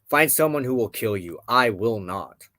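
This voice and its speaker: A man voicing a noble victorious prince in a storybook tale, formal, measured and commanding. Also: mid-range voice, animated, sharp tone, no echo, studio quality. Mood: firm, commanding. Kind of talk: storybook character dialogue in narration.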